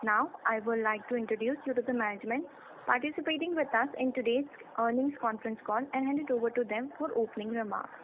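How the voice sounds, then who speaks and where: A woman's voice: fairly high pitch, quick pace. A woman with an Indian accent moderating a conference call, reading a formal scripted introduction. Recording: tinny phone line with clear noise.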